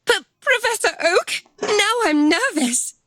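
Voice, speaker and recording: A woman's voice: very high voice, lively intonation, a little slow, pushed and bright, full character voice. A woman voicing a peppy, friendly young female professor for a video game, with a cheerful, teasing manner. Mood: flustered. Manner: cheerful, exclaiming.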